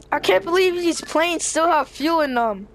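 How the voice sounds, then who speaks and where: An excitable, dramatic young player improvising a roleplay while playing a video game. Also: extremely high pitch, a little hiss or hum.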